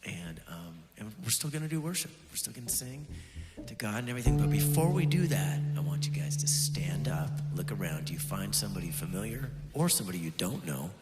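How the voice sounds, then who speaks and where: A worship leader still recovering from a cold, chatting casually and warmly with his congregation, with fillers and bits of laughter. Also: fairly low pitch, sing-song, brisk, hardly any pauses, big-room sound, over loud music. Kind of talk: casual talk to a church congregation. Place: church sanctuary.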